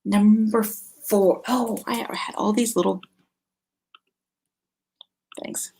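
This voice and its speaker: Middle-aged female vlog host, medium pitch, husky edge, informal and chatty. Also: steady tone, slow pace, darkish tone. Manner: chatty, conversational, thinking aloud. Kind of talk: casual informal product recommendations to camera.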